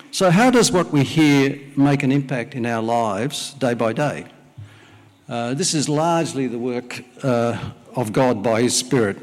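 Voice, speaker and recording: A middle-aged man from Wales, a native Welsh speaker, preaching a sermon and praying in a church service, speaking plainly with frequent "uh" pauses. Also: mid-range voice. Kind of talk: sermon with prayer. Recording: long hall reverb.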